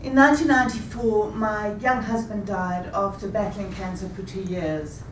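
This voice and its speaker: A woman in her fifties telling a rehearsed personal story with a measured, deliberate delivery. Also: medium pitch, fairly even intonation, a little hiss or hum. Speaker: speaker. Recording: echoey room, taken from a distance.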